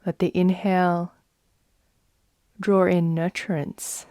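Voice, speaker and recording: A woman guides a meditation at a very slow pace, leaving long pauses between phrases. Her voice is soft, mellow and fairly low. The sound is close and dry, and her delivery is soothing throughout. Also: level tone, smooth, dry recording. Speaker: yoga nidra guide.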